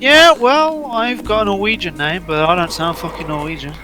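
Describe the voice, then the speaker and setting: A man's voice: sits high, moderate pace, over music. A man joking around as co-host of a casual video game commentary. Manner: jokey, animated. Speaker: let's play co-host.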